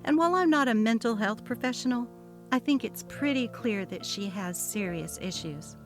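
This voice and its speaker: A woman narrating a short story as a dramatic, gossipy reading, expressive in her delivery. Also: on the high side, moderate pace, soft background music.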